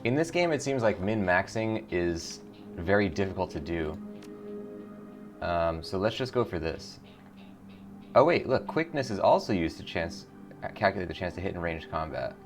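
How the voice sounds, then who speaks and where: A man with a low voice hosts a casual video game playthrough. He is informal and enthusiastic as he comments, and faint music plays behind him. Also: big pitch swings, normal speed. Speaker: gaming show host.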